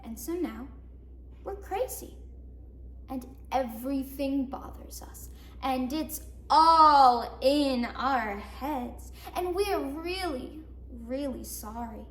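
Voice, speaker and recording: In an echoey room, a young woman performs a bitter woman confined in a mental hospital. Her voice is high and slow, delivered as a stage monologue. She is sardonic and theatrical, moving between hushed confiding and loud emphasis. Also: animated. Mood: mocking, agitated. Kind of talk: dramatic monologue, competition interpretation. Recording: roomy echo, faint noise, distant mic.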